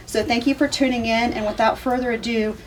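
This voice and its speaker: A woman's voice: a little high, fairly even intonation, brisk. Woman speaking from a distance from the mic. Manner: friendly, laughs easily. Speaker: school district military liaison. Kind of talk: welcoming introduction. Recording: distant mic with faint background noise.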